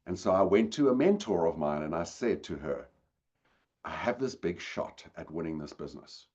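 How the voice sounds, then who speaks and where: A man's voice: deep voice, lively intonation, normal speed, a little mellow, dull audio. A man with a South African accent giving a webinar talk, addressing the audience directly and engagingly. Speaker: guest speaker. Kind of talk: webinar presentation. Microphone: video call.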